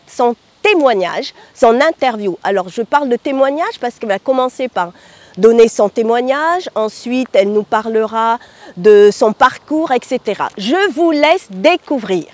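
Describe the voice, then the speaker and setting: A Martinican woman hosts the program, announcing each segment in a friendly way. Her voice is fairly high and very lively in pitch, recorded dry and close. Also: unhurried, dry recording. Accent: Martinican French. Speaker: host. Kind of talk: show introduction.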